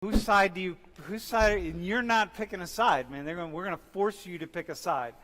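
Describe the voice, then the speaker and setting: A man's voice: high voice, brisk, long reverb tail, noisy background. A man interviewing young guests, probing and rephrasing his questions in a casual way.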